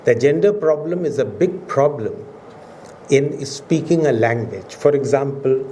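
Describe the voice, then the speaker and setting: An older man from India with an Indian English accent, whose first language is Urdu, giving a formal academic lecture in a measured, oratorical way, pushing his voice up to stress key words. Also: medium pitch, expressive, warm tone, slight background noise. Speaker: professor giving a public lecture. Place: museum auditorium.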